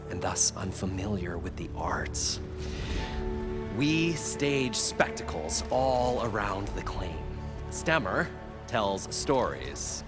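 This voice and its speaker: An actor playing an eager inventor and showman, with dramatic, flowery storytelling in a video game. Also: low-pitched. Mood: proud, theatrical. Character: eager, theatrical male inventor and showman chasing treasure. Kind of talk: video game cutscene acting. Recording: music behind the voice.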